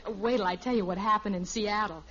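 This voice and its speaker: A woman's voice: medium pitch, steady tone, brisk, fairly bright tone, lightly acted. An actress playing a breezy, wisecracking American teenage girl in a 1950s radio sitcom, slangy and wry. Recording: muffled old radio recording.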